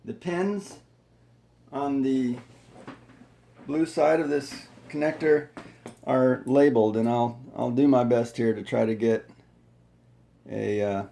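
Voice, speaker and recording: Male how-to presenter, unhurried and dark-toned, unscripted, explaining each step haltingly in an echoey room. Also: mid-range voice, fairly even intonation, vocal fry, slight background noise. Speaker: tutorial presenter. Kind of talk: hands-on how-to demonstration, unscripted.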